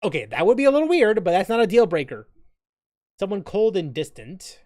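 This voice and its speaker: A man's voice: high-pitched, big pitch swings, fairly quick, a little mellow, dry recording, clean studio sound. A man streaming in a casual, rambling and informal way, reading things aloud and commenting on them. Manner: informal, rambling, mildly profane. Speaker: gaming streamer. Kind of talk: casual stream chat, reading quiz questions aloud and reacting.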